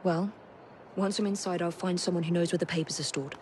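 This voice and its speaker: A woman plays a poised young Victorian Englishwoman, determined and quick-witted. She speaks briskly in an English accent, with game ambience clearly audible behind her. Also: fairly low pitch, steady tone, darkish tone, a little hiss or hum, lightly acted. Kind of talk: video game cutscene acting.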